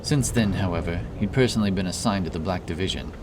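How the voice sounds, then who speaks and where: Deep-voiced male fantasy narrator. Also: fast talker, background noise. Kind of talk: dramatic fantasy audiobook narration. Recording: clear background ambience under voice.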